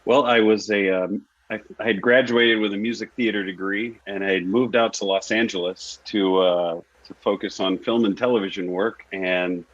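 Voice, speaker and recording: A man's voice: fairly low pitch, steady tone, moderate pace, fairly bright tone. A man, an actor and singer, telling his story casually as an interview guest. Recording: echoey room, dull lo-fi audio.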